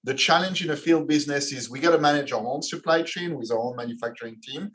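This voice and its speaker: A man from Belgium in his forties, answering questions as a podcast guest in a measured way, with frequent restarts and fillers. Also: a little high, a bit bright. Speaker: guest, finance executive.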